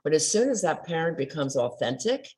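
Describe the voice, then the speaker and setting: A woman's voice: low voice, very animated, brisk, dark tone. An older woman speaking as a guest coach in a casual podcast interview, telling stories and acting out quoted dialogue with animation. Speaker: trauma recovery coach guest.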